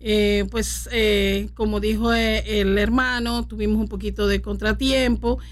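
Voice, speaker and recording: A middle-aged woman from Panama, a pastor speaking as a radio guest in a studio, fervent and brisk with hesitant fillers. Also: medium pitch, little pitch movement.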